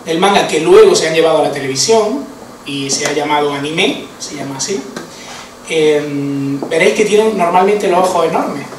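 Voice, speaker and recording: A man's voice: fairly high pitch, normal speed, background noise. A man with an Andalusian Spanish accent giving an informal conference talk, chatty, with many fillers and tag questions. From Andalusia, Spain. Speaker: conference speaker. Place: conference hall. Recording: echoey hall, voice from far off.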